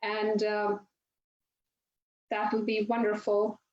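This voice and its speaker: A woman's voice: mid-range voice, completely flat delivery, slow, a little mellow. A woman, a yoga instructor chatting as an interview guest and laughing often as she tells stories. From India. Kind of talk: casual interview storytelling. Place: her home yoga studio. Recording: roomy, dull video-call audio with faint noise.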